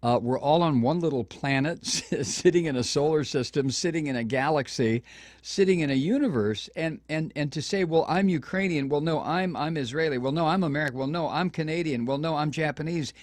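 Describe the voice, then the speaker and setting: A man's voice: fairly high pitch, fairly quick, no room sound, studio quality. An older man hosting a political talk show, delivering indignant commentary with frequent restarts and stumbles. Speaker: radio talk show host.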